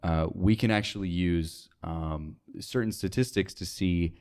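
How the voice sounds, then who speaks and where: A man's voice: low voice, measured pace, a little mellow, smooth. A young American man talking casually as a guest in an interview. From United States, North Dakota. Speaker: interview guest, radio sales associate.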